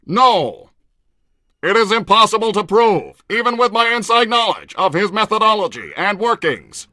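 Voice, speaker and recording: A war-hungry cartoon robot states its plans in a high, piercing voice. It is stiff and formal, coldly deliberate and free of emotion. The recording is dry and close. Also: male voice, lively intonation, pushed and bright, no room sound. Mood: cold, calculating. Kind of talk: improvised in-character conversation.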